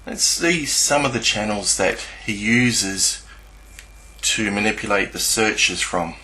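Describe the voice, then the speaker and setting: Low, very bright-voiced man narrating screenshots. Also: expressive, takes it slowly, rough recording. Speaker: YouTuber presenting screenshots. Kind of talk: screen-recording commentary, partly scripted exposé.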